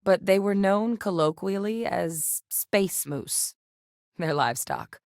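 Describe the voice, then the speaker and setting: An actress playing a grave, dedicated woman scientist in a space-faring military, speaking in a measured, articulate way as video game dialogue. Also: mid-range voice, lively intonation, gravelly, darkish tone, no room sound, studio quality. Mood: measured, serious.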